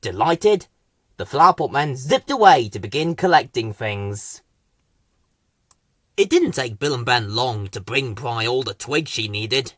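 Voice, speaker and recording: A woman narrating a children's story expressively, with deliberate, dramatic phrasing. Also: a bass voice, expressive, dry recording, studio quality. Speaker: storybook reader.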